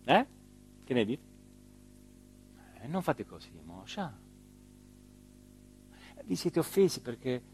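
Rueful mayor, swinging pitch. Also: male voice. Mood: self-important, eager, then coaxing, mournful. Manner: rambling, hesitant, emphatic, with repeated phrases and pauses. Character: rueful, self-important small-town mayor of southern Italy. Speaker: stage actor performing a radio theatre monologue. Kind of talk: theatrical dramatic monologue.

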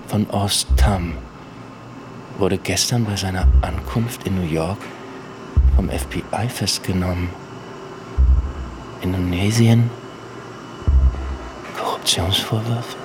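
An actor playing a wary, terse man thinking aloud in a radio crime drama, in clipped, hushed phrases. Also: lowish voice, steady tone, takes it slowly, pauses often, background noise. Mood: tense, calculating. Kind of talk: radio drama acting, interior monologue.

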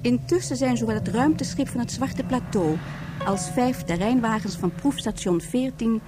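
A woman's voice: a little high, expressive, normal speed. A woman reading scripted narration for a science fiction radio drama. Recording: faint music behind voice.

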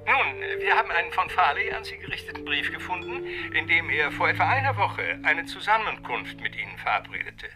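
An actor playing a breezy, flippant doctor in a German radio drama, chummy and informal in manner. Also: male voice, fairly high pitch, big pitch swings, a little fast, hardly any pauses, over music. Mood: matter-of-fact, flippant.

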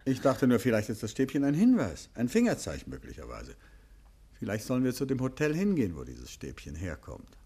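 An actor playing a composed, courteous gentleman detective in a 1950s German radio play, speaking thoughtfully with a husky voice. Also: mid-range voice, wildly swooping pitch, a little fast, heavily acted. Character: composed, probing gentleman detective, husband of his companion.

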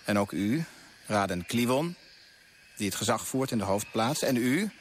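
A man's voice: fairly low pitch, lively intonation, moderate pace, a little hiss or hum, close to an everyday voice. A man playing an idealistic, grand colonial official in a Dutch radio play, speaking with rhetorical flourish. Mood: solemn, fervent.

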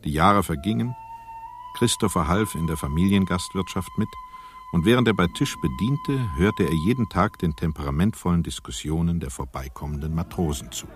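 A man narrating a radio play as a scripted storyteller, measured and wry. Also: low-pitched. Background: music.

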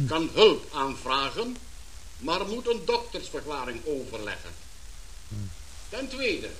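A man playing a solemn government official giving a formal, measured radio address in a radio play, on a hissy old recording. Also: high voice, pushed and bright, exaggerated voice, poor audio.

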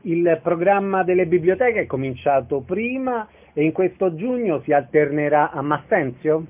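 Higher-pitched male radio host, phone-like sound. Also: animated, normal speed. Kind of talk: radio interview hosting.

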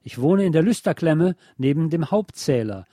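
A boastful little electric sprite, read out by a man, proclaims itself with self-importance. The voice sits a little higher, with a soft edge and a dry, close sound. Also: animated, normal speed, no echo. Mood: boastful, proclaiming. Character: little electricity goblin living in a terminal block, boastful.

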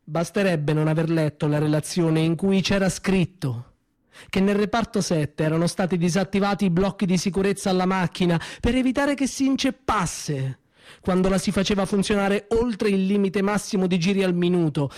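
A man performing a theatrical monologue, bitter and accusing, with slow weighted pauses. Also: sits high, darkish tone, no room sound, clean studio sound. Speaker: stage actor in a one-man monologue. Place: small theatre stage.

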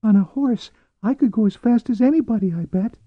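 Audiobook role: keen, defiant little lad, pitched well up, thin tone, dull recording. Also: male voice, brisk, no echo. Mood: earnest, excited. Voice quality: thin, high. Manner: earnest, eager. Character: eager, stubborn, excitable small boy, proudly defiant. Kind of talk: audiobook character voice.

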